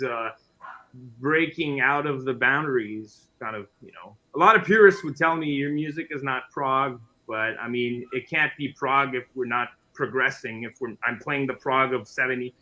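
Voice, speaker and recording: A man's voice: mid-range voice, sharp tone. A man with a French Canadian accent, a native French speaker, chatting as a guest musician in a friendly, rambling way. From Quebec, Canada. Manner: friendly, chatty, often restarting phrases. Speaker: guest musician and guitar teacher. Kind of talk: casual interview chat.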